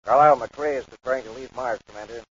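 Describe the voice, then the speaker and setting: An actor playing a formal, matter-of-fact space patrol dispatcher in a mid-century radio serial, heard through a communicator filter. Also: male voice, medium pitch, fast. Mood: businesslike. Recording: muffled, hissy old radio broadcast.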